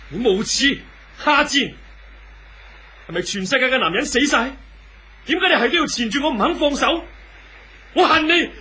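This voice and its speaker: A hot-tempered lover in a vintage radio play. His Hong Kong Cantonese voice is high and sharp, with theatrical, sweeping intonation. The recording has some hiss. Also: exaggerated voice. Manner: declamatory, intense, theatrical. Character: hot-tempered, scornful man torn between two women. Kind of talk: 1970s Cantonese radio drama acting.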